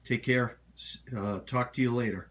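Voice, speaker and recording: A middle-aged man giving a casual, halting home tour of his hobby gear in a rambling, unhurried way. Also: mid-range voice, fairly even intonation, a crowd in the background. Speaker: hobbyist showing his radio collection. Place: home kitchen.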